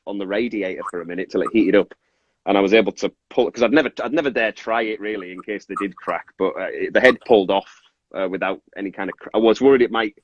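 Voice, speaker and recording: A man's voice: lowish voice, quick pace. A man from northern England with a Cumbrian accent touched by Scottish, casually explaining his craft in a rambling, self-interrupting way. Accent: Cumbrian northern English with Scottish influence. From Carlisle, Cumbria, northern England. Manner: rambling, self-interrupting, apologetic about tangents. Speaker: toy maker and resin artist, guest. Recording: muffled, echoey room sound.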